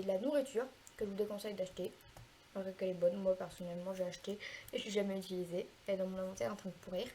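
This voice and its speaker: A woman with a cold explaining a video game in a chatty, hesitant way, pausing often to fill gaps while she plays. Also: mid-range voice, mellow, a little hiss or hum, low-quality recording. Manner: chatty, hesitant, frequent filler pauses. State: has a cold, congested. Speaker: gaming video creator. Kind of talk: casual gameplay tutorial commentary.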